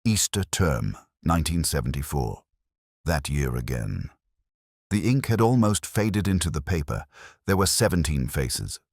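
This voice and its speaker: A man playing a brooding, erudite English detective who narrates a mystery in measured, introspective, literary phrases with a husky voice. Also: a bass voice, very animated, a little mellow, no room sound, studio quality, full character voice. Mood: wistful, suspicious. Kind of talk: scripted first-person mystery narration.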